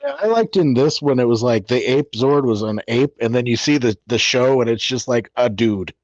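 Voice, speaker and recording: A man's voice: medium pitch, lively intonation, normal speed, dry recording. A man making casual, conversational remarks on a commentary podcast, through a dull lo-fi microphone. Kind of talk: casual chat.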